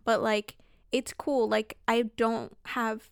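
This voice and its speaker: A woman's voice: fairly high pitch, level tone, takes it slowly, smooth, no room sound, clean studio sound. A woman in her late twenties co-hosting a podcast, chatting casually and joking about herself, with lots of "like". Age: late 20s. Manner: chatty, self-mocking, lots of "like". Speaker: podcast co-host. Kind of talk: casual chat.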